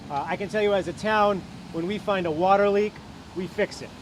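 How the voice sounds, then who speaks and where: A man's voice: high voice, a little fast. A man gives a public statement as a town official, outdoors. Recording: outdoor traffic noise.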